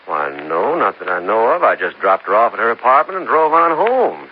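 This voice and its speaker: A man's voice: on the low side, big pitch swings, fairly quick, breathy edge. An actor playing a defensive, flustered young man under police questioning in a 1950s radio drama, indignant and protesting. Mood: uneasy, explaining. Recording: muffled old radio recording, faint noise.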